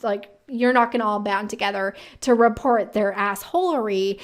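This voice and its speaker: A woman's voice: mid-range voice, moderate pace. A woman giving casual, chatty commentary as a video host, opinionated and informal with slangy asides. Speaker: career advice video host.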